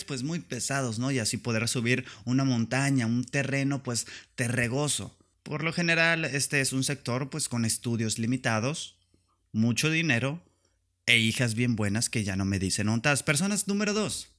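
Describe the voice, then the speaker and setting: Man, northern Mexican accent, medium pitch, profane slang, sarcastic, comedic solo podcast rant. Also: fairly bright tone. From Tijuana, Mexico. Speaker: podcast host.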